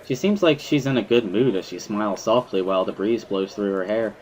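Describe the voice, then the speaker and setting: A man's voice: fairly low pitch, brisk, a little mellow, low-quality recording. A man reading a visual novel as first-person dramatic narration, in an earnest, brooding storytelling manner. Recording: music behind the voice.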